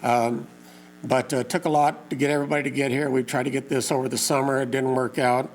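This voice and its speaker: A hoarse man who is losing his voice speaks at a community meeting, pausing often with fillers in plain, folksy wording. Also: medium pitch, flat delivery, a little hiss or hum, rough recording. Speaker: community meeting organizer and lake info page host. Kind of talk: informal public talk to a local meeting.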